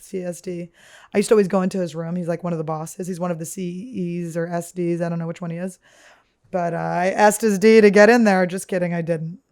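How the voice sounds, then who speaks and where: A woman with a deep, damaged-sounding voice hosts a comedy podcast from a studio. She rambles nonstop through crude, self-mocking stories. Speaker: podcast host.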